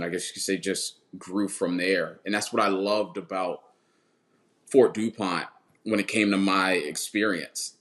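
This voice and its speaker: Man, 30s, podcast guest coach. Low voice, earnest, repeats words mid-thought. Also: fairly bright tone. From Washington, D.C. area. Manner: earnest, repeats words when building a point. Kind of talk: casual interview talk.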